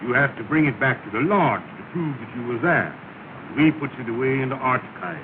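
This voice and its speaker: A man's voice: a little fast, close to an everyday voice. A man playing a solemn, pompous lodge leader in a 1930 comedy film, declaiming in folksy, ungrammatical dialect speech. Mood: solemn, mysterious. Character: solemn, pompous lodge leader giving orders to his brothers. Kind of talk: 1930s comedy film acting. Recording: muffled, rumbling, noisy 1930 film soundtrack.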